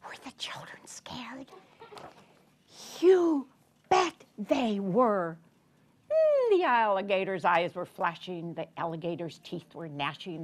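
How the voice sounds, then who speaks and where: An older woman telling a story to young children in a theatrical, deliberate way, drawing out words and putting questions to her listeners. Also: mid-range voice. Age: 60s or older. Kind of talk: animated read-aloud storytelling to young children. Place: children's story room in a public library.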